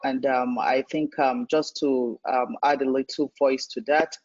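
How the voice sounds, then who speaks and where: A man moderating a panel discussion over a video call, speaking in a measured way with frequent "um" pauses. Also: medium pitch, fairly even intonation, dry recording. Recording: dry, muffled online call audio.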